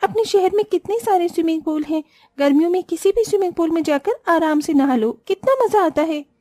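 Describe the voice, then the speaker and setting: A woman's voice: very high voice, a little fast, darkish tone, no echo, studio quality. A woman voicing a haughty, spoiled and showy young rich sister-in-law in a cartoon family story. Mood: eager, excited. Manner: scornful, complaining, showy.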